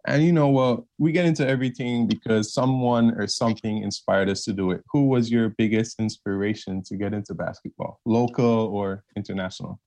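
A man's voice: on the low side, brisk, hardly any pauses, a little mellow. Laid-back male podcast host. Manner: casual, laid-back questioning. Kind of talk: casual interview hosting.

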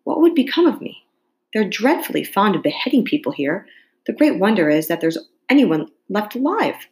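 A woman's voice: medium pitch, big pitch swings, moderate pace, darkish tone, pitched above the performer's own voice, natural voice. A woman voicing a polite, curious young girl in a storybook, with an earnest, complaining manner. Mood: uneasy. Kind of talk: storybook character reading.

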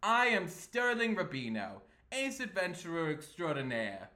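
A man's voice: a little high, huge pitch swings, unhurried, bright, forceful tone, exaggerated voice. A man playing a flamboyant, self-important adventurer addressing his audience in a pompous, theatrical stage monologue. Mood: boastful. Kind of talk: hammy stage monologue read aloud.

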